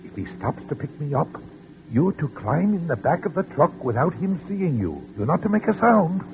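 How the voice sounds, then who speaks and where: An actor playing an anxious older father protecting his son in a 1940s radio drama, nervous, halting and pleading. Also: on the high side, very animated, narrow, tinny audio. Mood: urgent. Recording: crackly noisy band-limited old radio recording.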